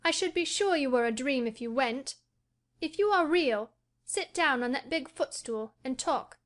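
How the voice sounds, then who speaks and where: A woman's voice: high-pitched, lively intonation, a little slow, a bit bright, pitched above the performer's own voice. A woman voicing a sickly, spoiled ten-year-old boy who talks in a demanding, cross and indifferent way. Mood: imperious. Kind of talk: audiobook character voice.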